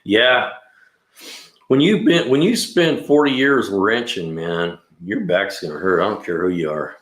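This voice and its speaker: Deep-voiced man in his sixties, laid-back. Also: animated, measured pace, fairly bright tone. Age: 60s. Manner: laid-back, rambling, "man" and "you know" often. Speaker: livestream host and mechanic. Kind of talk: casual livestream chat. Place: garage. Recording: echoey room.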